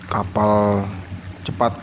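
A man's voice: lowish voice, completely flat delivery, very slow. A man hosting a casual vlog, greeting viewers as friends and narrating what passes. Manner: greets viewers, calls them YouTube friends. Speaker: vlog host. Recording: outdoor boat and water noise.

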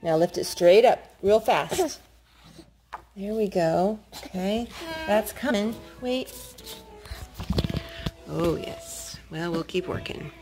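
Woman, mid-pitched, parent-style craft host. Encouraging, sing-song talk to little kids, casual phone recording with music behind. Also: takes it slowly, long pauses, mellow. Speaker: parent hosting a children's craft video. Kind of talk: casual chat with small children while narrating a craft. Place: indoors at home, wood-floored living room. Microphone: handheld phone filming.

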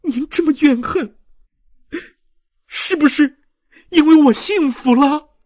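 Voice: sits high, slow, a little mellow, pitched above the performer's own voice. A performer voicing an earnest, hurt young married woman in an audiobook, emotional and questioning as she pleads like a daughter. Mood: hurt, pleading. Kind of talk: audiobook character reading.

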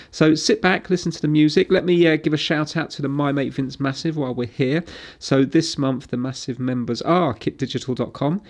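A man's voice: mid-range voice, a little mellow. A middle-aged man giving casual, informal commentary, thinking aloud as he works on a repair. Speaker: repair video host. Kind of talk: casual hands-on commentary while working.

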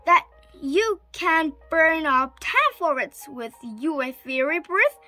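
A bold young storybook princess, acted out by a woman, eager and clever. The voice is very high and bright, swinging widely as it calls out. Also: lively intonation, slow, soft background music, full character voice. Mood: challenging.

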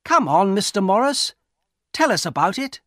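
An eager young son from a storybook, acted out by a man. His voice jumps high and swings widely. He is cheeky, curious and ready to tease what he doubts. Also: big pitch swings, moderate pace, a bit bright, no echo, clean studio sound.

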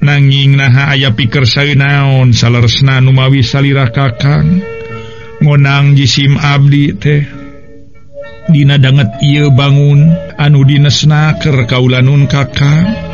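A man voicing a gentle, deferential queen in a courtly, soft-spoken way. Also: medium pitch, level tone, slow pace, highs cut off, faint music behind.

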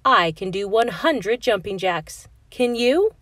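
A proud little animal pupil in a children's storybook, voiced by a woman. The delivery is earnest and friendly, with childlike explaining and lively swings in pitch. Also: slow, no echo.

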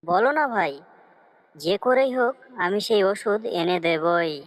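A woman's voice: on the low side, a little slow. Woman voicing a coaxing, cunning cartoon fox. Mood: reassuring. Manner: coaxing, flattering. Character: cunning fox, sweet-talking helpful schemer. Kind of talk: cartoon story dialogue.

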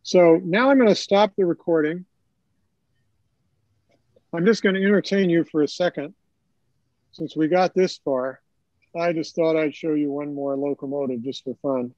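A man's voice: on the high side, moderate pace, pauses often, darkish tone, dry recording, lo-fi sound. An older man giving an informal live tutorial, explaining things in a conversational way. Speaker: presenter, hobbyist teaching video editing.

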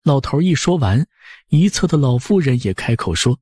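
A man with a husky voice reading a dramatized scripted story as an audiobook narrator. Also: mid-range voice, big pitch swings, normal speed, mellow, no room sound, studio quality.

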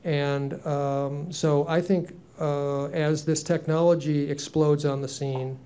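A man's voice: a little high, fairly even intonation, takes it slowly, mellow, clean tone. A man in his sixties answering on a conference panel, earnest and reflective, with frequent ums and restarts into a handheld mic. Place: conference room, seated on stage.

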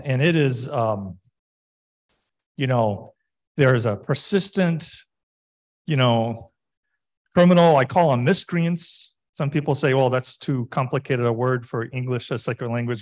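A man moderating a roundtable in an informal, conversational way. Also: mid-range voice. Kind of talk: informal facilitating of a discussion.

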